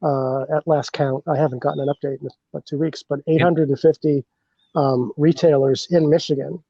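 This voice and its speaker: Mellow man on a dull, lo-fi line. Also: on the high side, steady tone, normal speed, vocal fry, slightly rough, no echo. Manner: rambling, many fillers and restarts. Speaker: bourbon brand representative, podcast guest. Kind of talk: casual podcast chat.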